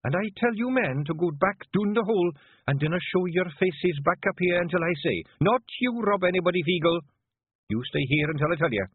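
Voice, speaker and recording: A man puts on a tiny Scottish woman who rules her clan in a storybook tale. The voice is high and bossy, slightly husky. It comes through narrow, like a radio. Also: lively intonation, no room sound. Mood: commanding. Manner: brisk, bossy. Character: tiny commanding wife, female clan matriarch creature.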